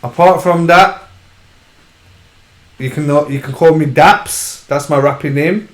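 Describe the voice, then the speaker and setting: A man talks in a laid-back, slangy way. His voice sits a bit high for a man. He sounds like he is in an echoey room. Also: unhurried. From Sheffield, England. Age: 20s. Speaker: rapper, panelist. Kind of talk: casual self-introduction.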